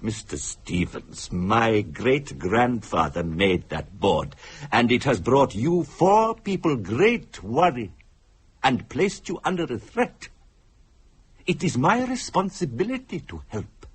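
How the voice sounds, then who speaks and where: An actor playing a grave, middle-aged male medium from India, speaking formally and deliberately in a radio drama. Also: medium pitch, sing-song, slightly rough, full character voice. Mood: solemn.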